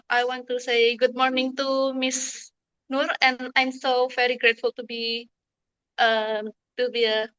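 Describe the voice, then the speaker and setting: A woman's voice: fairly high pitch, a bit bright. A young woman with an Indonesian accent, a native Indonesian speaker, asking a question politely in formal, hesitant English over dull audio. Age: young adult. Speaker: student audience member asking a question.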